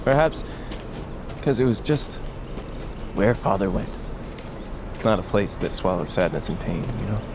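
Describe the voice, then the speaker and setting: A man's voice: fairly low pitch, lively intonation, a little slow, pauses often. A man acting in an audio drama as a wounded, guarded son set on vengeance, speaking tersely and hesitantly. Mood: reflective. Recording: clear outdoor ambience noise behind.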